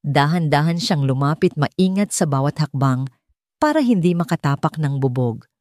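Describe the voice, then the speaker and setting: Low-voiced Filipino woman narrating drama. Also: animated, moderate pace, a little mellow, no echo, studio quality. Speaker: audiobook narrator. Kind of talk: dramatic scripted story narration.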